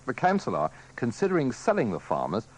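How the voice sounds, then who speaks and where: A man's voice: mid-range voice, wildly swooping pitch, a little fast, breathy edge, rough recording. A man presenting scripted morning news on live radio.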